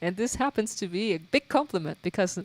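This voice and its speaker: A woman's voice: medium pitch, animated, fairly quick, no room sound. A young woman from Germany with a German accent, chatting casually with her livestream viewers, laughing often and using lots of fillers. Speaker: livestream host and guitarist. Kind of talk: casual chat answering viewer questions.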